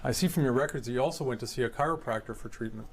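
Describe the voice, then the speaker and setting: A man's voice: medium pitch, racing, close to an everyday voice. A man playing a methodical lawyer who questions an injured claimant in a formal, probing way. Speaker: examining lawyer. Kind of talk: staged legal discovery questioning.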